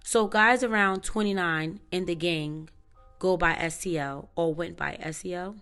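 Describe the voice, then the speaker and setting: A woman's voice: lowish voice, unhurried, a bit bright, smooth, soft background music. A woman questioning a witness in court as a prosecutor, in a formal and methodical way.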